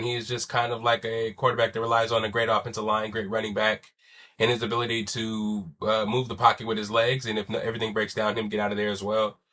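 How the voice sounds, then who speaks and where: A young man with a lowish, bright voice talks sports alone on his podcast in a loose, slangy way, swearing now and then. A chest cold leaves him sounding wheezy and stuffy. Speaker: podcast host. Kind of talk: casual solo sports talk.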